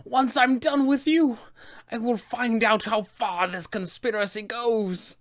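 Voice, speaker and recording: A man voicing an ancient, fanatical witch from a fantasy roleplaying game, speaking in slow, theatrical, drawn-out phrases. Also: extremely high pitch, fairly even intonation, pitched above the performer's own voice. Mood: menacing, vengeful. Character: ancient wicked witch, 400 years old, fanatically devoted, menacing.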